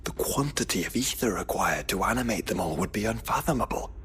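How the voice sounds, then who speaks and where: Low, measured fantasy scholar-warrior. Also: male voice, animated, a bit bright, husky, exaggerated voice. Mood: thoughtful, wary. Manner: formal, measured, articulate. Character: learned, measured male fantasy companion, sombre and thoughtful. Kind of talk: video game cinematic drama.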